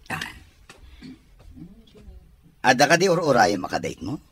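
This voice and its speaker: A man with an Ilocano accent and husky voice playing a shy, easily flustered diner in a radio comedy drama. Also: mid-range voice, sing-song, a little slow, fairly bright tone.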